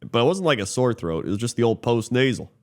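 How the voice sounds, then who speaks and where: A man's voice: mid-range voice, big pitch swings, quick pace, darkish tone. A man co-hosting a podcast, congested from a cold, telling a rambling story in casual chat with plenty of fillers. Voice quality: Muppet-like voice. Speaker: podcast co-host.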